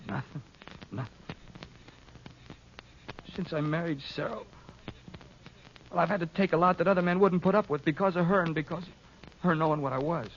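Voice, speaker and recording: Bitter, higher-voiced ranch man acted by a man. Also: lightly acted. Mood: aggrieved. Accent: American. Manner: halting, aggrieved. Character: bitter, put-upon rancher and ex-convict, stubborn. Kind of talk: 1950s radio drama acting. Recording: muffled 1950s radio recording, faint noise.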